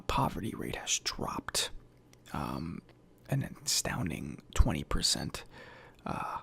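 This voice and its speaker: Male ASMR roleplayer, crisp bright whisper with some gravel, hesitant journal dictation, close mic. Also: deep voice. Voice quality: breathy whisper and soft speech. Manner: hesitant, pausing, thoughtful. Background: computer keyboard typing.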